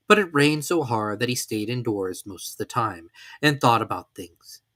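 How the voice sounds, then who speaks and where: A storybook narrator reading dramatically for children. The pitch sits in a middle range and rises and falls widely through each sentence. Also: fairly bright tone, no room sound, clean studio sound.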